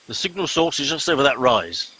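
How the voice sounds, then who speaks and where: A man's voice: mid-range voice, big pitch swings, a little fast, bright, forceful tone, a little hiss or hum, low-quality recording. A man playing a pompous, officious and easily exasperated captain in a comic radio play. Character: pompous, impatient male captain of bumbling crew.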